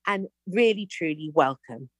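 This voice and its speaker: A woman's voice: low-pitched, animated, unhurried, breathy edge, dry recording, studio quality. A woman hosting an interview, reading an introduction and greeting her guest in an effusive, gushing way. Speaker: podcast host.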